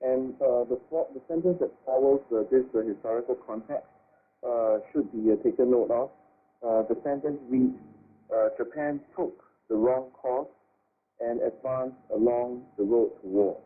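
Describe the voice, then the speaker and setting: A man giving measured political analysis as an expert guest, speaking formally and carefully with halting hesitations. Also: medium pitch. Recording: tinny narrow-band shortwave radio.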